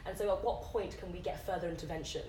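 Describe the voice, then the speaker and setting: A woman's voice: lowish voice, steady tone, fast, warm tone. A woman sharing her opinion at a panel discussion in an emphatic, openly frustrated way. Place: theatre venue panel event. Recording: distant mic, echoey room, clear noise.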